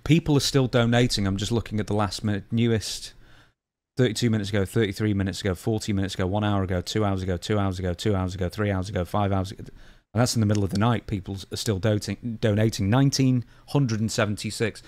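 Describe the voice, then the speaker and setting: Male interviewer, lowish voice, casual podcast chat, recorded dry without room sound. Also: fairly even intonation, fast, darkish tone, dry recording.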